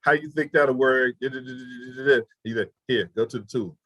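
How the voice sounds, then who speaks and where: A man with a drawl hosts a webinar over a video call, talking in a relaxed, folksy way. His voice is dry and close. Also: medium pitch, a little fast, no echo. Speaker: webinar host. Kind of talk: casual chat.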